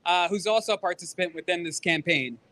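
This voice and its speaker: A man's voice: sits high, fast talker, noisy background. A man acting as emcee at a press conference, introducing speakers to the gathering. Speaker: event emcee. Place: outdoors in a city square. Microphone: event microphone.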